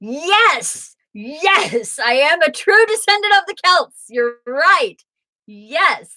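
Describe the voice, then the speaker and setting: A woman from Southern Illinois hosts a tarot livestream, chatting and answering viewer comments. Her voice sits a little high and sounds bright and forceful. She talks slowly, and the sound is dry and close. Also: expressive. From Southern Illinois, USA. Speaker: tarot reader and livestream host. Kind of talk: casual livestream chat with viewers.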